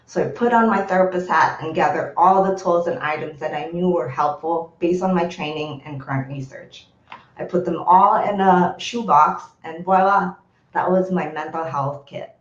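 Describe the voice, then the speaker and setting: Woman, Indonesian native speaker, rehearsed pitch, low-ish pitch, echoey dull video-call sound. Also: moderate pace. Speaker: startup founder pitching.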